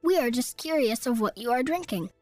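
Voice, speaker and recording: Squeaky little forest creatures. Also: male voice, expressive, fairly quick, no room sound, soft background music. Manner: squeaky, prim and chattering. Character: tiny fluffy gray tree creatures, proud, clever.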